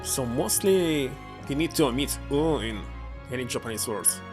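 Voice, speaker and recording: A man's voice: medium pitch, lively intonation, moderate pace. A man streamer chatting casually and reading game dialogue aloud as he plays. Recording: voice under loud game music. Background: loud game music.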